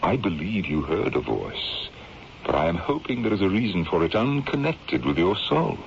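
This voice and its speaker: Radio drama colonel: deep, rational, protective, measured authority; muffled vintage sound with clear hiss. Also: male voice, very animated, exaggerated voice. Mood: gentle, concerned. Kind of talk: old-time radio drama acting. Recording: dull, noisy old radio drama recording.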